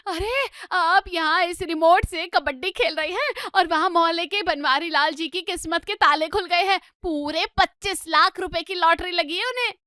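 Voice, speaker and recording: A woman acts out an excitable middle-aged housewife in a comedy drama. Her voice is very high and dry. She gossips with relish, nags and scolds in a dramatic, exclamatory way. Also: normal speed, few pauses, no echo. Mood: excited. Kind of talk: Hindi comedy story dramatisation.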